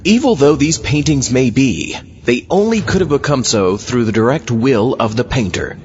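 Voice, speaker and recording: A man's voice: mid-range voice, lively intonation, normal speed, a little mellow, a little hiss or hum. A man hosting with a theatrical, scripted style of story introduction. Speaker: show host. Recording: slightly dull recording, faint music. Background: faint music.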